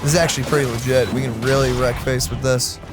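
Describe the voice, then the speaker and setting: A man's voice: medium pitch, little pitch movement, fast talker, music in the background. A young man giving casual let's play commentary, reacting playfully in slangy bursts. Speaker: gaming video host.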